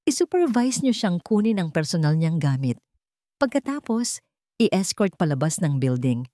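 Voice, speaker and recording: A woman's voice: fairly low pitch, big pitch swings, normal speed, mellow, no room sound, clean studio sound. A woman voicing a stern, righteous male chairman with a controlled, commanding manner in a drama story. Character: stern, righteous male company chairman, wealthy, grateful son. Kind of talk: dramatic audio storybook acting.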